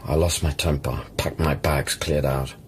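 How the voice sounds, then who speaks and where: An actor playing a troubled, guilt-ridden male scientist on a space station in an audio drama, with an intense, guarded, halting delivery. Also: very deep voice, fairly even intonation, a little hiss or hum. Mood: grieving, guilty.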